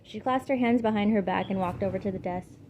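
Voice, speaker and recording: A woman's voice: mid-range voice, brisk, a little mellow. A woman reading a story aloud as an amateur narrator. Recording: clearly noisy amateur home recording. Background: background noises.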